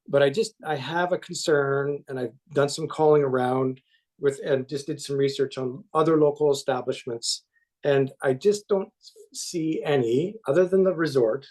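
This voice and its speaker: A man, a councillor, speaking against a motion in council debate in an earnest, halting, self-deprecating way. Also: mid-range voice, darkish tone. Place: council chamber.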